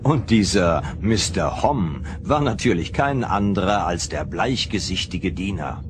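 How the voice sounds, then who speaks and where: A man's voice: deep voice, lively intonation, unhurried, background noise. A man giving scripted narration as the storyteller of a German science-fiction radio drama.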